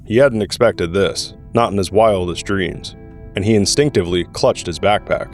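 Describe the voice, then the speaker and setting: A man's voice: low-pitched, normal speed. A man reading scripted narration for an audio drama. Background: background music.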